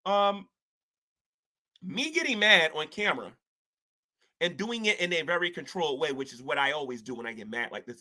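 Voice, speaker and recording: Bright-voiced man in rambling, preachy talk. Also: mid-range voice. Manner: rambling, colloquial with "ain't", many restarts; preachy, talks of gospel and spiritual war. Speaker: online commentator. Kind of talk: casual self-reflective commentary.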